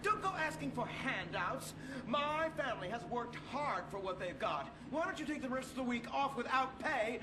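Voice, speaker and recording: A man's voice: extremely high pitch, lively intonation, moderate pace. A man voicing a pompous, prudish teenage boy superhero leader in a satirical cartoon, preachy and overdramatic. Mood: condescending. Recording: roomy echo, noisy sound effects behind.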